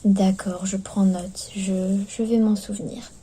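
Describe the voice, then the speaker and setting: A woman speaks softly in an ASMR roleplay as clinic staff, often slipping into a whisper, with gentle, hesitant, attentive patter. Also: medium pitch, level tone, a little slow, slightly rough. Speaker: ASMR performer playing skeleton clinic staff. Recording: lo-fi recording with background noise.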